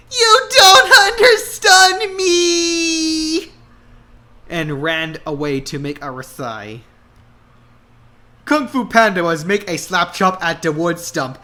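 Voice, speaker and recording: A man gives a comic dramatic reading of fan fiction. His voice is bright and fairly high, and he goes slowly, with exaggerated, halting delivery. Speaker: narrator.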